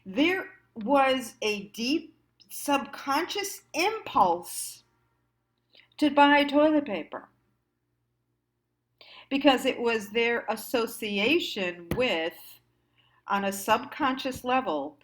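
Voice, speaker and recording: An American woman giving a reflective monologue as a host, musing haltingly and stressing key words. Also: on the high side, lively intonation, fairly bright tone. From United States. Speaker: host of a personal storytime show.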